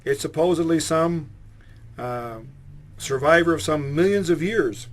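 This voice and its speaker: A man's voice: on the high side, measured pace, natural voice. A man voicing an earnest male believer who explains his claims about lake monsters.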